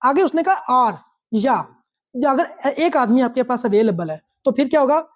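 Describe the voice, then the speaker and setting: A woman's voice: high voice, lively intonation, moderate pace. A woman with a Pakistani accent lecturing on law, reading out statutes and explaining them instructively, repeating phrases and checking understanding.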